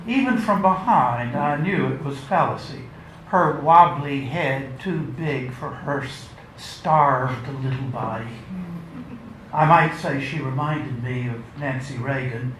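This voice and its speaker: A man giving a measured, theatrical and wry reading of literary fiction at a live event. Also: medium pitch, fairly bright tone. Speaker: author reading aloud. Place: indoor launch event before an audience. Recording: roomy live event, faint noise.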